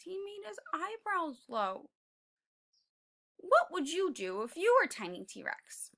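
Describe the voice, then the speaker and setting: A woman's voice: high voice, bright, forceful tone. A woman reading aloud to young children as a teacher would, expressively, stopping to prompt them with questions.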